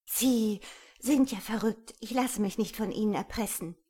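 A defiant female heroine from a romance audiobook, acted by a woman narrator. Her voice is pushed higher, with a husky edge. She protests in an agitated, emphatic and indignant manner. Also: measured pace, dark tone. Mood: defiant, frightened. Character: defiant, indignant young woman resisting a captor. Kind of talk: romance audiobook character dialogue.

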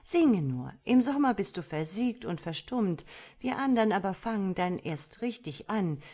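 A woman's voice: medium pitch, very animated, a little slow, warm tone. A woman voicing a cheerful, chatty starling in a storybook reading, breezy and a little lecturing. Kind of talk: storybook character voice.

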